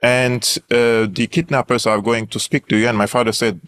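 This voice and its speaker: A man's voice: mid-range voice, flat delivery, moderate pace, a bit bright, clean tone. A young man from Syria with a Syrian Armenian accent, a guest analyst telling his story earnestly in an interview, with many fillers and restarts.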